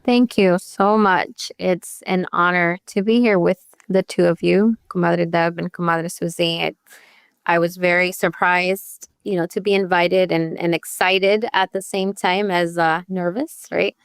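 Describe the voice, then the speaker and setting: A woman's voice: lowish voice, fairly even intonation, measured pace, clear, resonant tone, dry recording, studio quality. A woman from El Salvador who grew up partly in Virginia, telling her personal story as a podcast guest in a candid, conversational way, often checking in with "you know" and "right?". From born in El Salvador, raised partly in Northern Virginia. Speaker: podcast guest, youth advocate.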